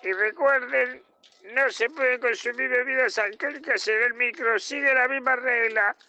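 A man's voice: very high-pitched, steady tone, normal speed, pushed and bright, lo-fi sound, faint music behind, exaggerated voice. A man playing a fussy, grumbling Argentine bus driver leaving repetitive voice messages in a comic radio play. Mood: officious. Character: grumbling, rule-bound old-time bus driver.

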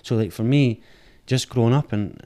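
A man's voice: mid-range voice, normal speed, dark tone. A middle-aged man from Scotland with an Edinburgh accent, talking frankly and thoughtfully as an interview guest, often repeating words as he searches. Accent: Edinburgh Scottish. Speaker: guest, film director. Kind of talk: candid reflective conversation. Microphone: dynamic studio mic.

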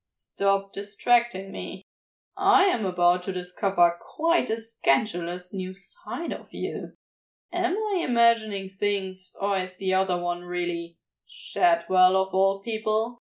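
A woman's voice: medium pitch, lively intonation, measured pace, pitched below the performer's own voice. A woman voicing a wily, affectionate male demon in a fan fiction audio story, teasing and sardonic in a casual way. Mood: incredulous.

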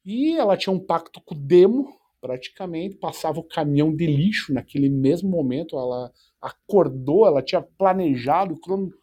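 A man in his forties, a startup founder and podcast guest, telling his life story in a relaxed way with self-deprecating jokes. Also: fairly high pitch, moderate pace, few pauses, husky. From Brazil. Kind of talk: podcast interview.

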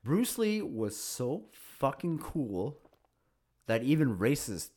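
Young male co-host, loose and profane, lively pitch, loves acting out quotes. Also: slow, husky. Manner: animated, swearing, acts out quoted people. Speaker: podcast co-host. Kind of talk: casual chat.

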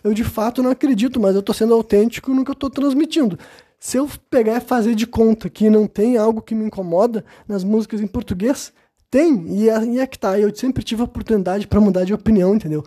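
A man's voice: high voice, fast talker, warm tone. A man from Brazil hosting a casual solo podcast, rambling with constant "sabe?" tags and hesitant restarts. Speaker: podcast host. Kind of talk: casual monologue.

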